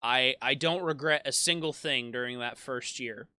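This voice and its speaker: A man's voice: mid-range voice, normal speed, bright, forceful tone, dry recording, clean studio sound. A man in his twenties, a YouTube gaming creator, reflecting in a casual monologue that rambles with frequent restarts and self-deprecating asides. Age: 20s.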